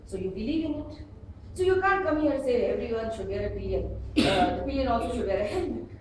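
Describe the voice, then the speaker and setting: Animated Indian woman speaking in an echoey room. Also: fairly high pitch, fast talker. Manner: expressive, emphatic, with acted-out examples. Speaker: speaker at a public speaking club. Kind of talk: instructional speech to a live audience. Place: indoor meeting room before an audience. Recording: distant, very echoey room with faint noise.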